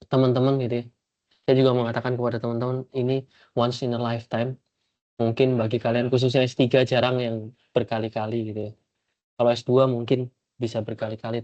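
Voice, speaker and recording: A man's voice: mid-range voice, a little mellow. A man with a stuffy nose from a cold, a professor giving rambling congratulatory remarks in an online meeting, full of tag fillers. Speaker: professor and supervisor. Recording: dull lo-fi call audio.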